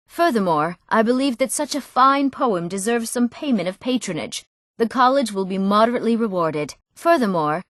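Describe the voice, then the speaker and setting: An actress voicing young townswomen and nobles in a fantasy video game, with earnest, clearly spoken scripted lines. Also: female voice, on the high side, no room sound, studio quality, close to an everyday voice. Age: young adult.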